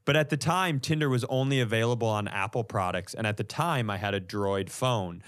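American man telling animated comic stories. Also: on the low side, a little fast. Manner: animated, profane, acts out reactions in stories. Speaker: comedian and podcast co-host. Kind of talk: casual comedic storytelling in podcast banter.

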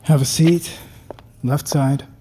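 An actor playing a stern, contemptuous prison guard in an audio drama, curt and commanding. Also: male voice, fairly high pitch, fairly even intonation, slow pace, very noisy. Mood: dry, contemptuous.

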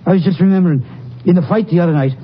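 An actor playing an anxious male crime victim in a 1950s western radio drama, speaking in a halting, earnest way. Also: high voice, natural voice. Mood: remembering, earnest. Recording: muffled, faintly noisy vintage radio recording.

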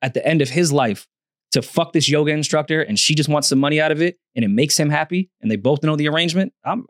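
A man's voice: a little high, fast, dry recording, studio quality. A man co-hosting a podcast, bluntly playing devil's advocate with crude jokes. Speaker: podcast co-host. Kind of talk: casual chat.

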